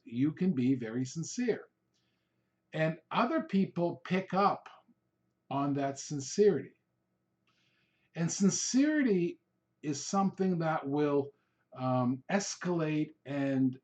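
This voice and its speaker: A man's voice: mid-range voice, darkish tone. A man whose first language is Hungarian hosts a solo podcast, giving loose, rambling coaching talk with frequent "um" and "all right?" checks. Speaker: podcast host, real estate coach. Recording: dull lo-fi recording.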